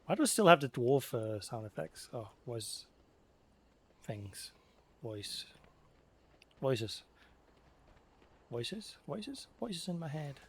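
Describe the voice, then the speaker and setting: A man's voice: mid-range voice, sing-song, slow pace, pauses often, breathy edge. A man giving casual gameplay commentary, muttering to himself as he plays. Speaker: let's player. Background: game music.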